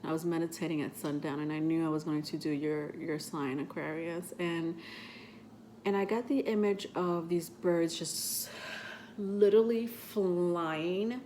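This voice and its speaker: A woman's voice: on the low side, steady tone, measured pace, mellow, a little hiss or hum. Stuffy-voiced woman rambling on her vlog. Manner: rambling, chatty, many fillers. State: stuffy from a cold. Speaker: tarot reader and channel host. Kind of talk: casual vlog talk to camera.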